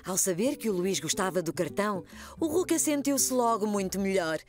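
A woman narrating a children's cartoon in a gentle storytelling manner, with a European Portuguese accent. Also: medium pitch, lively intonation, moderate pace.

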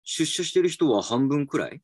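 A man's voice: a little high, big pitch swings, normal speed, breathy edge, dry recording, studio quality. An actor playing a reflective, slightly dejected male employee in a voice drama, polite and musing. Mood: wry, polite. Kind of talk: voice drama acting with inner narration. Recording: music behind the voice.

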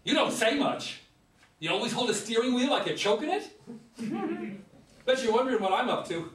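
A man playing a loud, excitable, folksy and menacing ex-convict hitchhiker in a staged play reading. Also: extremely high pitch, normal speed, full character voice. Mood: needling, menacing. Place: meeting room, around a table. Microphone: far camera. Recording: distant mic in echoey room.

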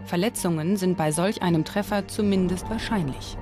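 A woman presenting a history documentary in scripted, clearly articulated and explanatory narration. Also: fairly low pitch, moderate pace, dark tone, soft background music. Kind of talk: scripted documentary narration with on-location interview questions.

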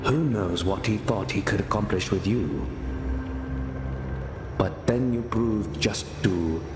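A man's voice: on the low side, expressive, slow pace. Solemn, guarded religious order man, echoing hall. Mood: bitter, resigned. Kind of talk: video game voice acting. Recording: echoey hall reverb with noisy ambience.

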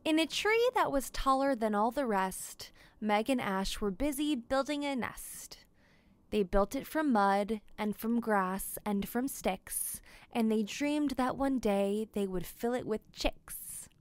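A woman's voice: on the high side, expressive, takes it slowly, clean tone, dry recording. A woman reading a children's picture book aloud as scripted narration. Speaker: storybook reader.

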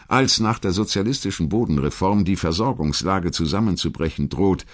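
Male narrator for a history documentary: low register, measured and articulate scripted storytelling.

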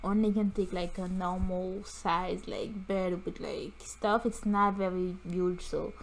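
A woman's voice: lowish voice, fairly even intonation, slow, vocal fry, a little hiss or hum, poor audio. A young woman from Montreal whose first language is French, casually narrating a tour of her apartment with many fillers and loose grammar. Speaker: vlogger giving a home tour. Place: small apartment rooms indoors. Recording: loud background music.

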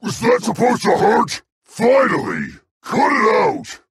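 Video game dragon brute: very raspy, gruff and boastful, pitch swinging wildly from growl to bellow. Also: male voice, wildly swooping pitch, slow pace, a bit bright, no echo, full character voice. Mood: fierce bellowing. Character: brutish, hot-tempered male dragon warrior, gruff and boastful. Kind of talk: video game character barks.

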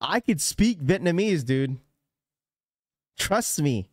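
A man's voice: a little high, sing-song, slow, darkish tone, dry recording. Joking young man on a close mic. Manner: joking, loose, laughs often, mimics voices. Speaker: sneaker livestream host. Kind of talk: casual live stream chat. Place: small room with blue lighting, indoors. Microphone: studio mic on a boom arm, close.

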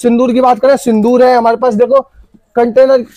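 Man, shopkeeper, very high voice, dry, sales patter, cosmetics shop indoors. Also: flat delivery, fairly quick, warm tone, no room sound. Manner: repetitive selling patter. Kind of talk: sales pitch showing products.

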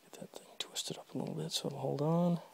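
A man's voice: on the high side, steady tone, fairly quick, creaky, whispered. A man giving casual running commentary while he fixes an electric fence in a horse barn, talking to himself and his horses as he works, recorded close on a handheld phone. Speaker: video creator demonstrating fence work. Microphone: phone camera held close, first-person view.

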